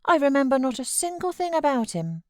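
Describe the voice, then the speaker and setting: A woman's voice: sits high, very animated, a little fast, dry recording, studio quality, pitched above the performer's own voice. A woman voicing a frail, timid young boy in an audiobook, fretful and plaintive. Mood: puzzled, plaintive. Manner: plaintive questioning. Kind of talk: audiobook character voice.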